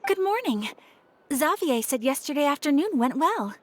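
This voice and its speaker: A woman playing a gentle, polite and serene young lady in an anime video game. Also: high voice, big pitch swings, normal speed, noisy background. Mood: serene, pleasant.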